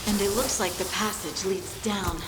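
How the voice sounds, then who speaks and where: A woman's voice: mid-range voice, fairly even intonation, moderate pace, loud music behind the voice, natural voice, low-quality recording. A woman playing an earnest, resolute dwarf scout in a video game, with a cautious, reassuring manner.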